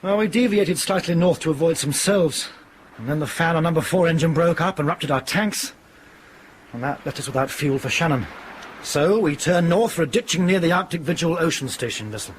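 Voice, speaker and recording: A man acts a stern airline captain in a radio drama. His voice sits a little high for a man, and he speaks in a clipped, commanding way. There is some noise and ambience behind him. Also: animated, fairly quick, lightly acted. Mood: grave. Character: stern, authoritative airline captain of a ditched plane.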